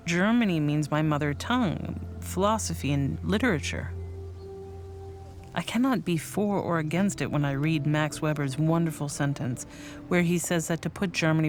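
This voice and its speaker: A woman voicing a young woman scholar, reading formal letters in a measured, thoughtful way for a documentary. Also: sits low, a little mellow, close to an everyday voice. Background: faint music.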